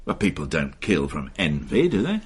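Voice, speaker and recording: A man's voice: very deep voice, huge pitch swings, moderate pace, slightly rough, full character voice. An actor playing a dry, ironic male police inspector in a radio drama, speaking in a sardonic way.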